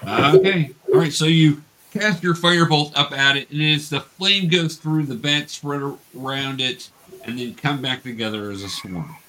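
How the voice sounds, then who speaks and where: A man's voice: fairly high pitch, normal speed, pushed and bright, rough recording. A man running a tabletop game for young beginners as game master, patiently explaining each step.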